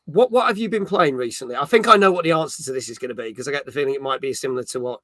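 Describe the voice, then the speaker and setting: A man's voice: medium pitch, very fast, pushed and bright, no echo, clean studio sound. A middle-aged man hosting a casual gaming chat and reading out chat messages in an upbeat, rambling way. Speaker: host.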